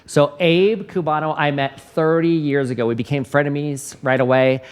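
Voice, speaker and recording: A man's voice: on the high side, fairly even intonation, moderate pace, smooth. A man in his fifties hosting a podcast interview, telling stories with animation and laughing often. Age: 50s. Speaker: podcast host.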